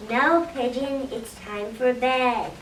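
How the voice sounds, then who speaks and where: A woman's voice: fairly high pitch, slow. A young girl playing a firm woman at bedtime in a school reader's theater. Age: child. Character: firm woman putting a pigeon to bed. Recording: roomy, distant mic with some noise.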